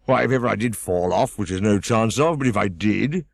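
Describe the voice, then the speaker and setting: A man's voice: lowish voice, expressive, fairly quick, vocal fry, dry recording, clean studio sound. A man voicing a pompous, easily offended egg who sits on a wall and boasts grandly. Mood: grandiose.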